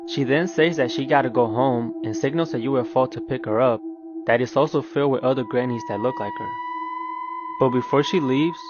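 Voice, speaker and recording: A man narrating a scripted recap for a short video in a medium-pitched voice. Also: a little fast. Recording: dull lo-fi clip, background music.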